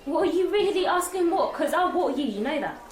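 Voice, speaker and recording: A woman's voice: sits high, lively intonation, a little fast. An actress playing a feisty, crude teenage girl in a radio play, snappy and teasing. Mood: cheeky, indignant. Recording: echoey room, faint noise.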